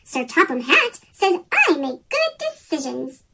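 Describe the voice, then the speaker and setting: A storybook reader with a chipmunk-pitched effect voicing a plucky, polite male little tank engine. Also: huge pitch swings, measured pace, pushed and bright, slight background noise, heavily acted. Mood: reassuring. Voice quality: high chipmunk squeak. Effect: sped-up chipmunk pitch shift.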